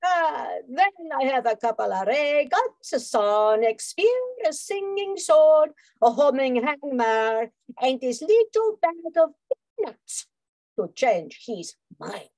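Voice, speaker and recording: A woman's voice: high-pitched, very animated, slow pace, darkish tone, no room sound, exaggerated voice. A woman playing a boastful, seductive Martian spy villain with theatrical, sultry delivery in a radio spoof. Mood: smug, scheming. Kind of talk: old-time radio spoof acting.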